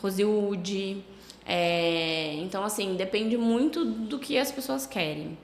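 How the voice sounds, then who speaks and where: A Brazilian woman with a slight cold, a travel agency owner chatting casually as a podcast guest, relaxed and full of fillers. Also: medium pitch, slow, a little hiss or hum. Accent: Brazilian Portuguese. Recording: echoey room with long reverb.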